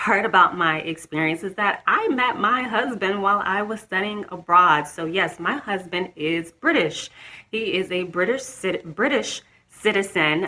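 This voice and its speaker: A woman's voice: fairly low pitch, bright, forceful tone, rough recording. A young American woman talking casually to the camera, chatty, with frequent restarts and light laughs. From United States. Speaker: vlogger.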